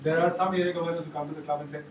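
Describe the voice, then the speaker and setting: Middle-aged male trainer, loud and upbeat, unmiked, far camera pickup with faint room noise. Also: fairly high pitch, level tone, racing, roomy sound. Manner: loud, encouraging, asks the room questions. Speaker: workshop trainer. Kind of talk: interactive training session. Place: large meeting room with projector. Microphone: far camera, no mic.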